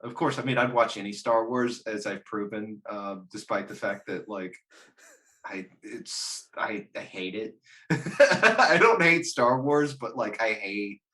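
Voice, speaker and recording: A man's voice: fairly low pitch, expressive, a little slow, bright, forceful tone. A man chatting casually about pop culture in a rambling, sweary, self-mocking way. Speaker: podcast panelist. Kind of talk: casual chat about games and films.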